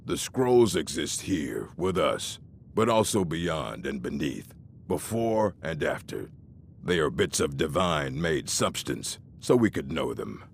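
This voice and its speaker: An actor playing a gruff, curmudgeonly old orc librarian in a video game, deep and gravelly, with a grumbling, condescending, scholarly manner. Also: male voice, very animated, slow pace, slight background noise, exaggerated voice. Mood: solemn, lecturing. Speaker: game character.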